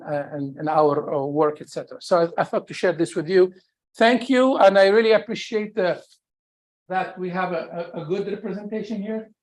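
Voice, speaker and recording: Halting seminar host, medium pitch. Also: darkish tone. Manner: halting, repetitive, word-searching delivery. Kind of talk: informal seminar address.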